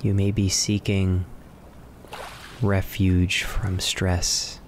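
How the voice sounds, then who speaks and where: A man narrating a guided relaxation in a measured, soothing manner, with long pauses between short phrases. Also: sits low. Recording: steady ocean wave noise behind voice. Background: ocean waves and relaxation music.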